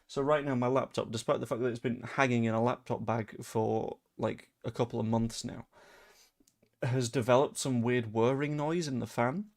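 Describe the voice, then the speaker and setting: A young man from Leeds with a softened Yorkshire accent, hosting a casual live stream and chatting through viewer comments with rambling, dry asides. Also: medium pitch, steady tone, moderate pace, vocal fry. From Leeds, England. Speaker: fan channel host.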